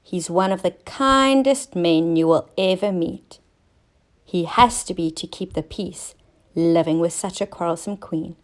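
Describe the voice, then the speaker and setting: Slow-paced woman reading a children's story. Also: fairly low pitch. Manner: expressive, clearly enunciated storytelling for young children. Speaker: teacher reading stories to children. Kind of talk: scripted children's story narration.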